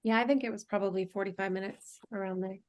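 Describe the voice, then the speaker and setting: A woman's voice: mid-range voice, fairly even intonation, fast talker. A woman chatting casually in a group, musing in a tentative way.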